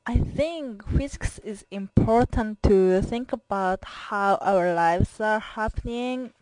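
A woman's voice: medium pitch, takes it slowly, a little mellow, dry recording, low-quality recording. Earnest young woman with a Japanese accent. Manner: careful, earnest, with simple phrasing and small grammar slips. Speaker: college student. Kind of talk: self-introduction recording for class.

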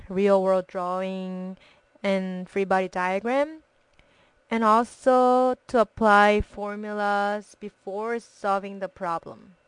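A woman's voice: medium pitch, clean tone, dry recording, rough recording. A young woman from Korea, a college student with a strong Korean accent whose first language is Korean, reading a personal introduction carefully. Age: young adult.

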